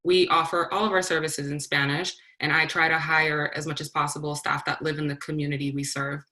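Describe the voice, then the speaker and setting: A woman's voice: low-pitched, little pitch movement, fairly quick, bright, forceful tone. A woman from Massachusetts, a community-based director, introducing herself on a panel in a composed, informative way. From Massachusetts, USA. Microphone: video call.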